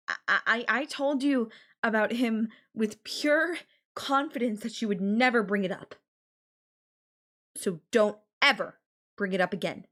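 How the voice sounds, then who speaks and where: Woman acting as obsessive yandere girlfriend, unhurried pace, mid-pitched, breathy cooing, sweet yet menacing, intimate audio roleplay. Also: fairly bright tone. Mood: stern. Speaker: voice actor.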